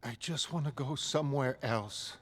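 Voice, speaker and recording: A man voicing a shaken, blunt young woman in a motion comic, halting and emotional. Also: medium pitch, close to an everyday voice. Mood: shaken, pleading.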